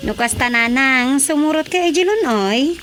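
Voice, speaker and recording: A woman with an Ilocano accent playing a proud, excitable, chatty wife in a radio drama comedy. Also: high-pitched, normal speed, over music, close to an everyday voice. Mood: coaxing.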